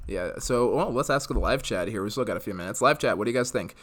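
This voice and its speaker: A man's voice: fairly low pitch, lively intonation, fast, a bit bright. An American man hosting a live podcast, chatting casually and giving his opinions in a conversational way. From United States. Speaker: podcast host.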